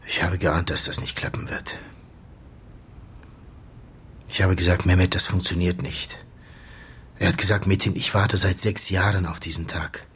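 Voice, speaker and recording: An actor playing a grieving doctor in a radio drama, confessing in a halting, subdued voice that often sinks toward a whisper. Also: male voice, deep voice, little pitch movement, a bit bright, slight background noise. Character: grieving male doctor confessing to his friend's staged death. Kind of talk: German radio drama acting.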